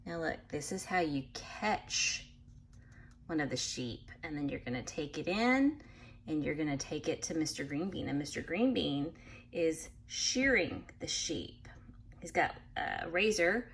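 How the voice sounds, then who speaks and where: A woman reading a picture book aloud to children in an animated way, pausing to explain things patiently in simple words. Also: low voice, a little hiss or hum. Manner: animated, acts out animal sounds, addresses young listeners. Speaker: read-aloud storyteller for children.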